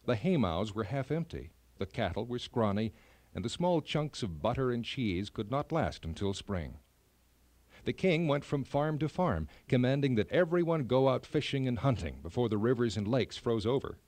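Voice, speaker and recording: Male narrator for children's fairy tales, expressive scripted read, animated pitch, close dry sound. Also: normal speed, no echo. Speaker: storyteller.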